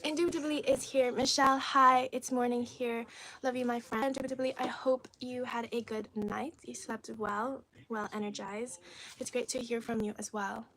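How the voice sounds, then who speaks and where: A woman from China whose first language is Cantonese, chatting casually on a livestream into a phone, reading viewer comments aloud and often dropping to soft half-whispered asides. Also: on the high side, fairly even intonation, normal speed, a little hiss or hum, low-quality recording. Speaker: livestream host.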